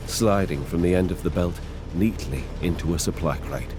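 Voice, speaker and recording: A man performing scripted dramatic narration of a grim science fiction story in a measured, deliberate way. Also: low-pitched, over music.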